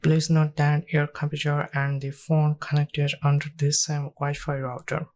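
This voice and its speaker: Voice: mid-range voice, little pitch movement, normal speed, darkish tone. A narrator reading scripted step-by-step tech tutorial instructions in a plain, instructional way.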